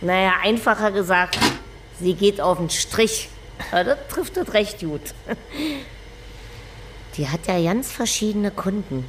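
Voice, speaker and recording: A woman's voice: medium pitch, expressive, takes it slowly, long pauses, background noise. An actress playing a gossipy, earthy Berlin landlady in a radio drama, chatty and coy, then blunt. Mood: gossipy, amused.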